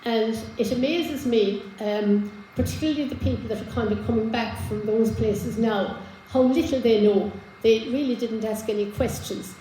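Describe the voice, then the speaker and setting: A hoarse woman giving an informal genealogy talk to a live audience, chatty, with many fillers and restarts. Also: on the high side, moderate pace, warm tone, roomy sound, slight background noise, low-quality recording. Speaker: genealogy speaker. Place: community hall with an audience. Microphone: handheld microphone.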